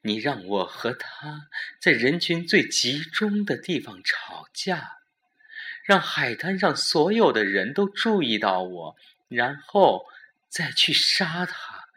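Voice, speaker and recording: Man acting as anxious newlywed woman, pitched up, sharp, incredulous, questioning, suspense audio drama. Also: big pitch swings, takes it slowly, heavily acted. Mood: alarmed, incredulous. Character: agitated newlywed woman drawn into a murder plot.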